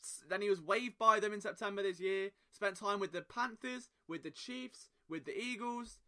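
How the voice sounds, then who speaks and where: Male podcast host, high and very bright voice, impassioned solo monologue. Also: normal speed. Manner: impassioned, emphatic rhetorical questions.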